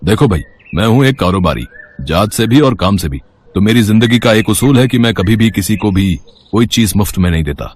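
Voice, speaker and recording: A man voicing a rich, self-assured businessman in a storybook tale, calm and courteous, with a matter-of-fact, authoritative manner. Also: fairly low pitch, brisk, a little hiss or hum, pitched below the performer's own voice, lightly acted. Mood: firm, businesslike. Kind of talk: Hindi storybook character dialogue.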